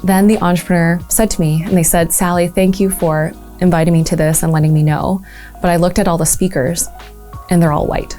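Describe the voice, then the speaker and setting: Earnest woman in her 30s, from malaysia, talking about her work, lowish mellow voice, light music under it. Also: fairly even intonation, a little fast. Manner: earnest, reflective, frequent ums and uhs. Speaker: entrepreneurship program leader.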